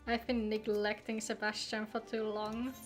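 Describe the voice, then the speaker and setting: A woman's voice: mid-range voice, level tone, moderate pace. A woman game streamer chatting casually and playfully while she plays, joking along as she goes. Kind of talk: casual livestream chat while gaming. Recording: background music behind the voice. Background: music.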